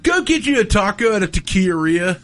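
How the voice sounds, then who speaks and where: Brief: male co-host in a radio studio, sarcastic and quick to laugh, slightly high and animated. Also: soft background music. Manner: mocking, laughing often. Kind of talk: bantering radio talk segment.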